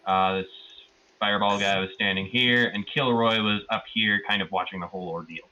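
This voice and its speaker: A man's voice: fairly low pitch, steady tone, a little fast, pushed and bright, muffled recording, slight background noise. A man giving a casual, rambling and amused recap of a tabletop roleplaying session as one of the players.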